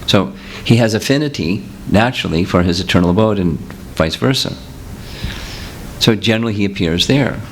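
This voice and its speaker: A low-pitched man teaching a seminar, speaking patiently and working through examples as he answers questions from the audience. His voice comes through a handheld microphone in a meeting room. A faint hiss of room noise sits under the talk. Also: lively intonation, normal speed. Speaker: spiritual teacher.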